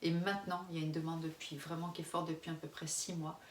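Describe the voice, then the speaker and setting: French woman in her forties, candid rambling vlog chat, low-ish voice, echoey room, set back from the mic, faint background hum. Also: level tone, fast. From France. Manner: frank, rambling, many restarts, laughs often. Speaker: expat family vlogger. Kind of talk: casual face-to-camera chat. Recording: roomy, distant mic, faint ambience.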